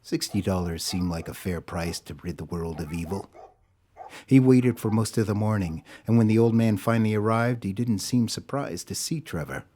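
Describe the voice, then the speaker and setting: A man's voice: fairly low pitch, normal speed, dark tone. A man narrating a scripted audio drama as a dramatic storyteller. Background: music.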